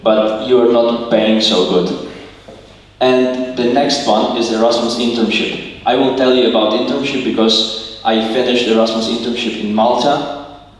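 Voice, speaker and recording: Young man presenting in an echoey hall. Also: mid-range voice. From Ukraine. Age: young adult. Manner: non-native English, plain and earnest, explaining slide by slide. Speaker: student presenter. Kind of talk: slide presentation talk. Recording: echoey hall, mic far off, faint noise.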